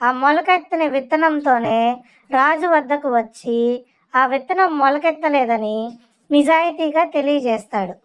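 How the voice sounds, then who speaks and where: A woman's voice: high-pitched, moderate pace, no echo, highs cut off. A woman presenting and narrating a story as a schoolteacher would, in a scripted storytelling style. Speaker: teacher presenting and narrating a children's school skit.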